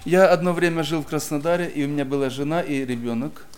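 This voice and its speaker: A man preaching and telling a personal story in short phrases, pausing after each so it can be interpreted. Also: a little high, a little mellow. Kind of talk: sermon testimony, interpreted line by line.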